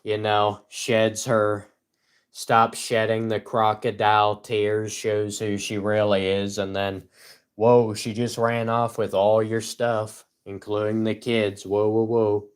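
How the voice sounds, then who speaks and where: A young man with a gruff voice, rambling cynically on his livestream and laughing between points. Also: on the low side, flat delivery, slow pace. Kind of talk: casual solo livestream rant.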